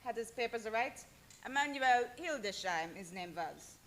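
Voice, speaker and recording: A woman playing excitable dockside bit characters in a theatrical radio play. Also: on the high side, measured pace, heavy background noise. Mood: businesslike.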